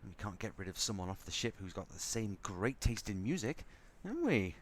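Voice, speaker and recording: Guy acting sarcastic space crew dude w a soft spot, voice swoops all over, bit of noise behind. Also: fairly low pitch, sing-song, a little fast. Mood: grudgingly warm. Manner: sardonic, rambling, softening into fondness. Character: snarky male spaceship crewman, grudgingly fond, talking to baby. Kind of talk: audio drama monologue.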